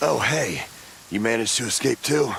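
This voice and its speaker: Gravelly cautious refugee. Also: male voice, mid-range voice, expressive, moderate pace, a bit bright, a little hiss or hum, low-quality recording. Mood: cautious, friendly. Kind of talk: video game voice acting.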